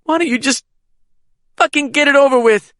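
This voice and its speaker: A man voicing a wounded young woman who is in pain, pleading and shouting in a strained, high voice. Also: fairly even intonation, moderate pace, no room sound, studio quality. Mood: desperate fury. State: sobbing, in pain.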